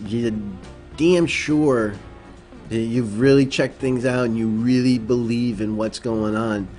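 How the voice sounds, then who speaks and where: A man's voice: mid-range voice, fairly even intonation, slow, a little mellow. Rambling male livestream host, faint music behind. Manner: rambling, many fillers, reads chat aloud. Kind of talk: casual late-night livestream chat. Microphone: desk microphone.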